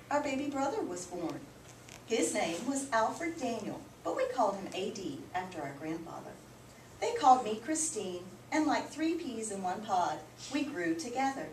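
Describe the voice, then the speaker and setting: Lively high-voiced woman reading aloud, roomy recording. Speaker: read-aloud teacher. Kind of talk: expressive picture book read-aloud. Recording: roomy, distant mic, clearly noisy background.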